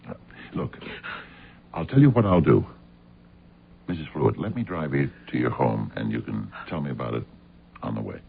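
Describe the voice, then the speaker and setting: A reluctant, flustered man in a vintage radio drama, protesting with exasperation. His deep voice has a gravelly creak. The old recording is thin, with some noise behind him. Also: lively intonation, normal speed, long pauses, heavily acted. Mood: flustered, relenting. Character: reluctant, exasperated TV actor mistaken for a detective. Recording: noisy, narrow-band old radio recording.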